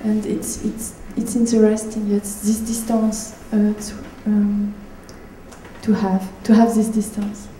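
A woman's voice: mid-range voice, monotone, slow pace, warm tone. A woman from Switzerland, answering on a panel and hesitantly searching for words. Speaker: writer, panel guest. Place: public event room. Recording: echoey room, faint noise.

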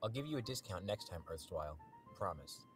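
A man's voice: deep voice, lively intonation, brisk. A man playing a good-natured, businesslike male Pokemon shopkeeper in a fan comic dub. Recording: faint music under the voice.

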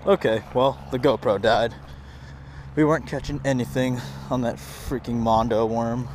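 A young man in his twenties chatting casually in a fishing vlog, excitable and prone to blurting out exclamations. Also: mid-range voice, normal speed, a little hiss or hum. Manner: excitable, blurts out exclamations, says "freaking" a lot. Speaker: fishing vlogger. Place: pond bank outdoors, then home gaming room indoors. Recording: background music playing.

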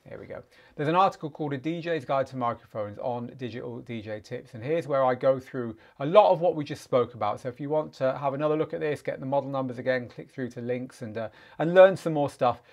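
A man's voice: mid-range voice, quick pace, darkish tone. A man in his forties hosting a live chat stream from a small home studio, answering questions with a chatty, friendly manner and plenty of "uh" fillers. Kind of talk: live-stream Q&A, casual teaching. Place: small home studio with speaker and acoustic panels.

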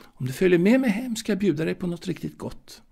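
A genteel middle-aged widow, acted out by a man in an audio drama, coaxing and seductive. The voice sits fairly high for a male, with a gravelly, husky grain. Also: big pitch swings, darkish tone, heavily acted. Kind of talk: audio drama monologue acting.

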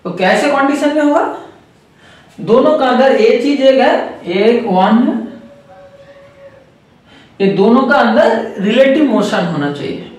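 A man's voice: very high-pitched, lively intonation, slow, pauses often, heavy reverb, far from the mic. A man explaining a circuit step by step to viewers, repeating key points and calling them friends. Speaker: electronics trainer. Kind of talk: whiteboard circuit lesson.

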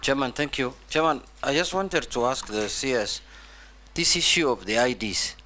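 Casting: Kenyan male legislator, medium pitch, halting, repetitive, formal but unscripted questions. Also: animated, pushed and bright, a little hiss or hum. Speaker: member of parliament questioning a nominee. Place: large parliament chamber.

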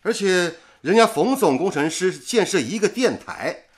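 A man's voice: high voice, moderate pace. An actor playing a dedicated young male radio engineer in a period radio drama, speaking earnestly and steadily. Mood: instructive. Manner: earnest, steady, gently persuasive. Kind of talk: 1930s-set radio drama acting.

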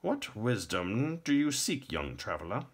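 Man doing a croaky, imperious old blind king. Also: mid-range voice, sing-song, unhurried, voice pushed up, exaggerated voice. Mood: grand, solemn. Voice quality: croaking, raspy. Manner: grand, commanding.

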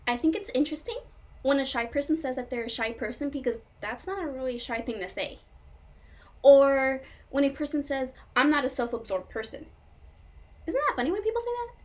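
A woman acting a whimsical, dreamy, high-voiced woman. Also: animated, a little fast. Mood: amused, quirky. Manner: quirky, chatty, confiding, effusive. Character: whimsical, dreamy, melancholy woman, swinging between joy and sadness. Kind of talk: comedic stage monologue.

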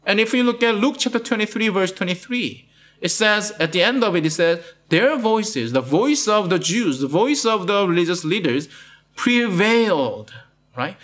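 A man's voice: sits high, lively intonation, normal speed, a bit bright. A middle-aged man with a Malaysian accent preaching a sermon in a studio, asking "right?" after nearly every point and repeating his words. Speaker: pastor. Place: studio with plain blue backdrop.

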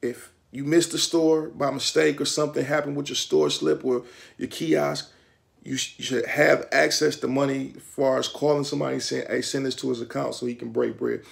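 A man's voice: mid-range voice, flat delivery, a little fast, vocal fry. A middle-aged man with a Detroit accent, talking to the camera in a small room at home, giving streetwise advice with lots of hand gestures. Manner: streetwise, instructive, gestures a lot with his hands. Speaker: vlogger. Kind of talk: casual talk to camera.

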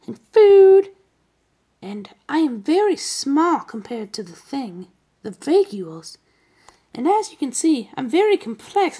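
A woman's voice: high voice, gravelly, warm tone, exaggerated voice. A woman voicing a small, chatty, eager mitochondrion girl with a put-on Australian accent, in a comic educational sketch.

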